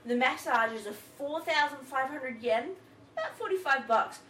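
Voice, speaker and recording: A woman co-hosting a casual travel podcast, loud and swearing freely, laughing often. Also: high-pitched, expressive, measured pace. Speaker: podcast co-host. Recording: echoey room, mic far off, noisy.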